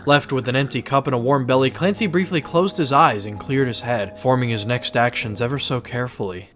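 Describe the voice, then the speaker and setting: A man's voice: medium pitch, brisk, a bit bright, soft background music. A man narrating a scripted fantasy story in a dramatized audiobook style.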